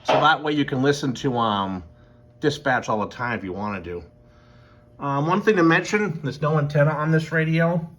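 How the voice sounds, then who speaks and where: A man's voice: fairly high pitch, lively intonation, normal speed, a bit bright, lo-fi sound. A man giving a casual walkthrough of his equipment, rambling and hesitant as he thinks aloud, recorded indoors at home. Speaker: emergency medical worker and radio hobbyist. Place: indoors in a house. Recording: home recording with faint background noise.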